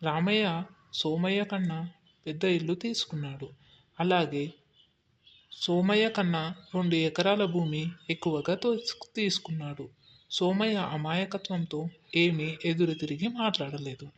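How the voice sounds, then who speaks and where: A man's voice: a little high, moderate pace, pauses often. A man giving scripted narration for a moral story. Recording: dull lo-fi audio with faint noise.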